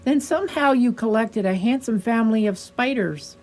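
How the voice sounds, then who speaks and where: A woman playing a weary, sorrowful but patient asylum doctor in a radio drama, with measured, educated, probing speech. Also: a little high, darkish tone, slight background noise, lightly acted.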